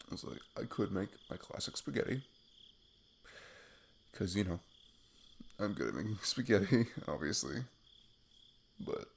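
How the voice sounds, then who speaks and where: A man's voice: lowish voice, gravelly, darkish tone, a little hiss or hum. A man playing a sweet, goofy best friend on a date in an ASMR roleplay, rambling playfully and poking fun at himself. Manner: rambling, playful, self-deprecating. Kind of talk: intimate ASMR roleplay, improvised casual chat.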